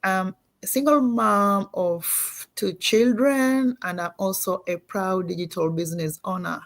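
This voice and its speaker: A Kenyan woman gives a self-introduction as a panelist. Her voice is mid-pitched. The sound is dry, without any room echo. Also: unhurried. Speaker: panelist, nurse.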